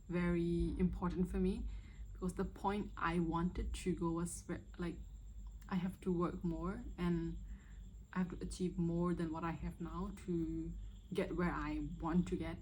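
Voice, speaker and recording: Young woman in her 20s from Myanmar, low-ish mellow voice, chatty and hesitant vlog Q&A, faint room hiss. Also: rough recording. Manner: chatty, hesitant, with many fillers. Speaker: vlogger. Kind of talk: casual first-video Q&A chat.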